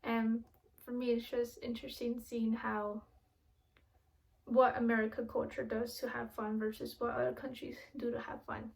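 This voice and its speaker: A woman's voice: on the high side, level tone, moderate pace, gravelly, rough recording. A young woman who grew up in Miami, giving an earnest, casual video presentation with frequent restarts and fillers. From grew up in Miami, born in Ecuador.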